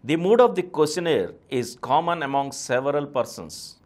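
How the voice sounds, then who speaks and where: A middle-aged man with an Indian accent, reading a scripted text aloud in a formal, deliberate way, phrase by phrase. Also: on the high side, lively intonation, darkish tone. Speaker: presenter reading answers aloud. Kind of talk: scripted reading of a question-and-answer essay.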